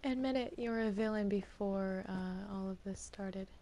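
A woman's voice: medium pitch, normal speed, warm tone, close to an everyday voice. A woman playing a needling, insistent villainess in an improvised Minecraft roleplay.

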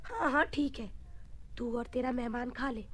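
Radio play mother: high voice, exasperated scold, long-suffering. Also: normal speed, fairly bright tone. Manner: nagging, complaining. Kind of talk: Hindi radio play acting.

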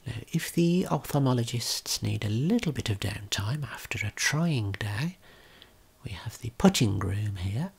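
A man giving a gentle, unhurried ASMR tour of a video game in a breathy, whispered, soft-spoken voice. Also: medium pitch, expressive. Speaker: ASMR creator and tour guide.

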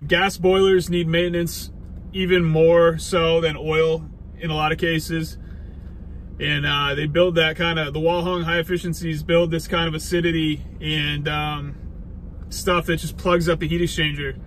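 A man's voice: a little high, unhurried. A man in his twenties, an HVAC technician, chatting casually to a dash-mounted phone inside his parked work van. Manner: relaxed, plain-spoken, tradesman's shop talk. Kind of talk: casual vlog talk to camera. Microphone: phone camera mounted on the dashboard. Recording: loud vehicle and outdoor noise behind.

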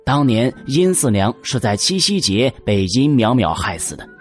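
An actor playing an elderly, officious Tang dynasty prefect in an audio drama, with measured, old-fashioned diction. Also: male voice, mid-range voice, very animated, husky, soft background music. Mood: grave, recounting.

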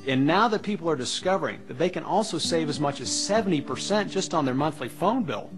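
An American man in his thirties presents an infomercial in a high voice. He is emphatic and persuasive, working through a scripted pitch with music playing behind him. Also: animated, fairly quick. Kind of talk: scripted sales pitch to camera.

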